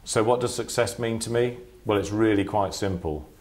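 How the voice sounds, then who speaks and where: Deep-voiced businesslike man. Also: normal speed, darkish tone. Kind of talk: corporate interview talk. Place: indoor office with display boards.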